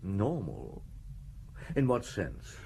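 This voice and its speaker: An actor in an audio drama playing a shrewd chief inspector who questions people in a measured, blunt, politely insistent way. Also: male voice, on the low side, wildly swooping pitch, gravelly, darkish tone, slight background noise, exaggerated voice. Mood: probing, polite. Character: shrewd, probing male police chief inspector. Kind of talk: audio drama dialogue and first-person narration.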